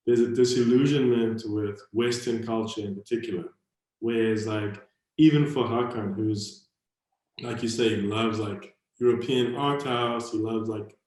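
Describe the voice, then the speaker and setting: A man's voice: on the low side, darkish tone. A South African man moderating a panel talk, rambling and hedging with many fillers. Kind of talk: online panel conversation. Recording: very echoey room.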